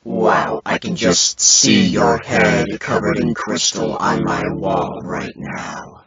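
A man voicing a gloating giant monster villain with a gravelly voice. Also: deep voice, slow pace, far from the mic, a loud crowd, heavily acted.

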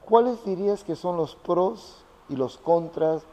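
Mellow male interviewer with reverb. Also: high voice, lively intonation, measured pace. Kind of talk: conversational interview questions. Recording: reverberant studio room.

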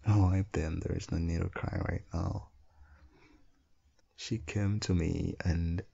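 A man plays a tender, playful young husband and father in an ASMR roleplay. His voice sits very low, crackling with fry, and he takes his time soothingly. The sound is a little dull. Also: flat delivery, takes it slowly, mellow, heavily acted. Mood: soothing, amused. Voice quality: low, gravelly, husky. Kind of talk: intimate ASMR boyfriend audio roleplay.

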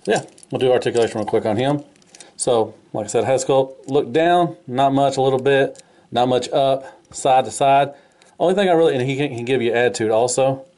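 A man's voice: medium pitch, a little fast, dark tone. Casual man reviewing toys. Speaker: toy reviewer. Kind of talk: casual hands-on product review.

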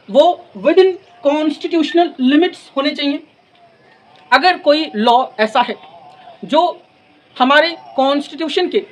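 High-pitched young man lecturing. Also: fairly bright tone, rough recording. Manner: deliberate, phrase-by-phrase delivery with repetition for emphasis. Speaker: law lecturer. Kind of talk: explanatory lecture with English legal terms mixed in. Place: in front of a classroom blackboard. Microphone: lapel mic.

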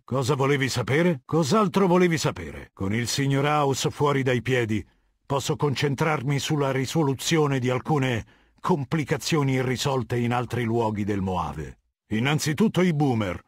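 A male actor playing an imperious, menacing middle-aged warlord in a video game, commanding, cold and condescending, with a husky voice. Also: mid-range voice, no room sound, clean studio sound. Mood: measured, imperious. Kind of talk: video game dialogue, Italian dub.

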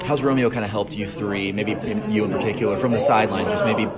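Male sports reporter, quick low voice, rambling questions, crowded noisy room. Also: steady tone, over a noisy crowd. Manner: long rambling questions with hedges. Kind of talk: postgame media questions.

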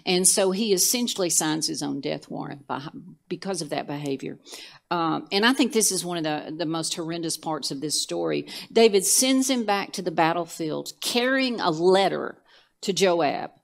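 An older woman co-hosting a church Bible study show, retelling scripture stories in a measured way with some fillers. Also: fairly low pitch, lively intonation, creaky. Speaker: co-host teaching scripture. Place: church studio set, indoors.

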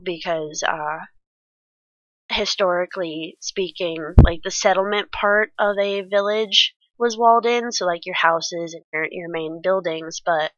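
Woman, congested and stuffy, rambly let's-play commentary, bright forward tone, dry dull home mic. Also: lowish voice, no echo. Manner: rambling, many ums and restarts, chatty. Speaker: Minecraft let's play creator. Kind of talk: casual gameplay commentary.

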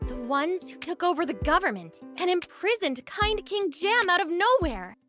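A woman's voice: very high-pitched, big pitch swings, normal speed, sharp tone, soft background music, exaggerated voice. An actress voicing a determined young female resistance fighter in a video game, earnest, direct and emphatic. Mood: indignant, determined. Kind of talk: English video game voice acting.

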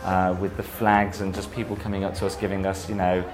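A man speaking earnestly in a documentary interview, reflective and halting with many ums. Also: sits low, flat delivery, music in the background. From Britain. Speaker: emigrating husband.

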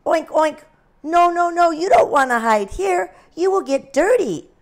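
Woman doing loud, insistent storybook pigs. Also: very high-pitched, lively intonation, a little slow, pitched above the performer's own voice.

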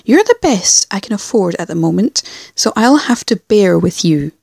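A woman's voice: medium pitch, very animated, moderate pace, vocal fry, a little mellow, breathy edge, no room sound, studio quality, heavily acted. A woman playing a cocky, menacing rogue in an audio drama, taunting and self-assured. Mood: cool menace. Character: cocky, mocking, menacing female rogue troublemaker. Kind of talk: fan audio drama acting.

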